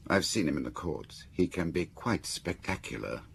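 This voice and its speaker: An actor playing a shrewd, inquisitive confidant in a radio drama, with a gravelly, very husky voice and a probing, considered manner. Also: male voice, low-pitched, animated, moderate pace, a little hiss or hum.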